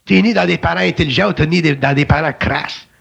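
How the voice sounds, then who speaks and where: A man's voice: fairly high pitch, fast talker, gravelly, fairly bright tone, slightly rough, dull audio. A man with a Québécois French accent, giving emphatic, colloquial spiritual talk in a philosophical conversation. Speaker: spiritual speaker.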